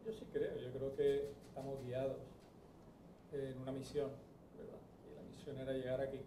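Middle-aged Spanish-accented surgeon, mellow dark voice, reflective lectern speech with many fillers, roomy hall. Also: male voice, medium pitch, flat delivery, moderate pace, a little hiss or hum. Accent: Spanish from Spain. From Spain. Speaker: transplant surgeon. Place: large bright event hall. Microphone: lectern microphone. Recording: roomy hall audio picked up from a distance.

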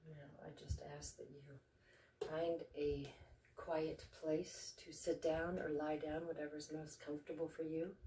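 A woman leading a guided meditation and invocation, speaking slowly and reverently with deliberate pauses. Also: low-pitched, little pitch movement, background noise. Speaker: meditation guide and ceremony host. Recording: roomy echo, distant microphone.

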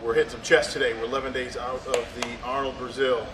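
A man's voice: medium pitch, level tone, quick pace, music in the background. A man in his thirties, a competing bodybuilder, talking casually and matter-of-factly about his training in a large indoor gym. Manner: plain, matter-of-fact, trailing fillers. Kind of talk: casual gym talk to camera. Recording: echoey gym audio from a distance.

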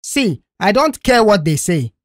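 Stern male boss acted by a woman, fed up, authoritative scolding, heightened pitch, audio drama. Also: big pitch swings, a little slow, no echo, studio quality. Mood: angry, dismissive.

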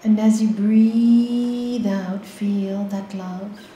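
A woman leading a guided meditation, giving slow, soft, calm instructions with long pauses. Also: medium pitch, echoey room, slight background noise, poor audio.

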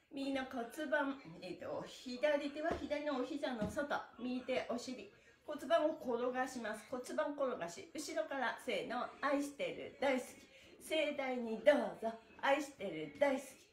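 Middle-aged female fitness instructor: fairly high, very animated, sing-song and encouraging, recorded in a reverberant room. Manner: cheerful, encouraging, sing-song calls while demonstrating stretches. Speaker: stretching instructor. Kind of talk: live exercise lesson with chanted counting. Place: small bare indoor room. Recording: echoey room, mic at a distance.

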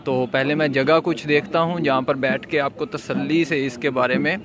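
A man's voice: mid-range voice, fairly even intonation, normal speed. A man, talking casually to camera as a vlog host and explaining things with English words mixed in. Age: 20s. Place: open public area at night. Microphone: phone at arm's length. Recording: noisy phone recording.

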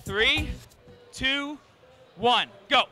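A young man hosting a contest with a handheld mic in a crowded convention hall, talking loud and upbeat. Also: very high voice, extremely slow, over music. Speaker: host. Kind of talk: energetic event hosting.

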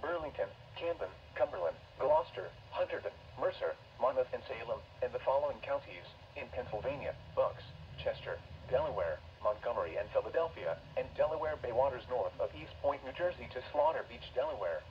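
A computer-generated man's voice reading a weather warning bulletin in an even, mechanical way, heard from a radio speaker. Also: mid-range voice, brisk. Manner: even, mechanical listing of places and times. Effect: text-to-speech voice. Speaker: automated weather alert announcer. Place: weather radio played on a desk indoors. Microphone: camera recording a radio speaker. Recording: dull radio speaker, faint hiss.

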